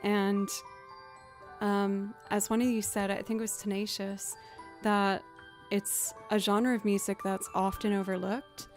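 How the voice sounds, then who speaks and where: A young woman with a low voice, chatting casually and rambling to her live stream audience. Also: little pitch movement, a little slow, soft background music. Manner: chatty, rambling, self-deprecating. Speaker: streamer and musician.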